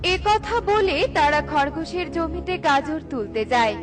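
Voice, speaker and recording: A woman's voice: high voice, unhurried, over music. A woman reading scripted narration for a children's cartoon story.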